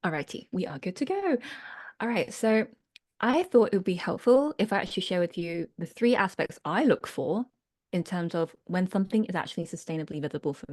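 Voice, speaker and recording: A woman's voice: lowish voice, darkish tone. Woman leading an online workshop. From United Kingdom. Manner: earnest, reflective, checks in with listeners. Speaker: workshop leader and business coach.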